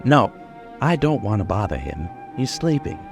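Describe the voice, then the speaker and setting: A man's voice: fairly low pitch, very animated, moderate pace, vocal fry, soft background music. An actor in an old-time radio crime drama playing a cocky, bitter gunman with menacing, taunting, slangy tough talk. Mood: defiant, threatening. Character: cocky bitter gunman confessing his life story.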